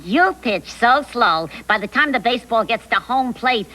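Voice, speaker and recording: A woman's voice: a little high, lively intonation, normal speed, pushed and bright. A woman voicing a brash, mocking horse puppet in a children's comedy, scoffing and boastful. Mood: scornful.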